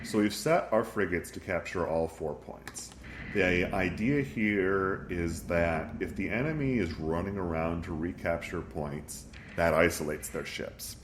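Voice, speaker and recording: A man's voice: low voice, a little slow, echoey room, a little hiss or hum. A man narrating a casual gameplay walkthrough, thinking aloud with frequent ums, sniffly from a recent flu. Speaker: game tutorial narrator.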